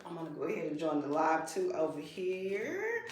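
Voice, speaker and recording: A woman's voice: low-pitched, huge pitch swings, normal speed. Bubbly woman host in echoey studio. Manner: bubbly, upbeat, exclamatory. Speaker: podcast host. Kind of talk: casual live-stream chat. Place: small studio. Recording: very echoey room.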